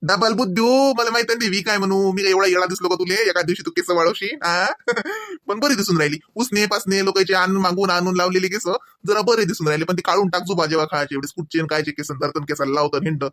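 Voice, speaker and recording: A man's voice: high-pitched, fast, few pauses, bright, forceful tone. A man voicing a wisecracking, mock-bossy young husband in a comedy cartoon, full of teasing banter, with a Varhadi Marathi accent.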